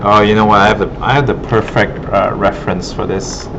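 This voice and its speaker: A man teaching art, giving a casual, halting demo commentary in a classroom, thinking aloud as he goes. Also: fairly low pitch, fairly even intonation. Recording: muffled broken audio, loud room noise.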